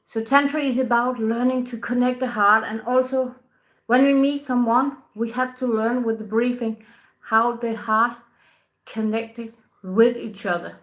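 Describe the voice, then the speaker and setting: Lady tantra teacher, bright tone, gentle chatty talk, laughs a lot. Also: a little high, low-quality recording. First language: Danish. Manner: soft-spoken, unhurried, laughs often, non-native grammar. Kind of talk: casual spoken talk to camera.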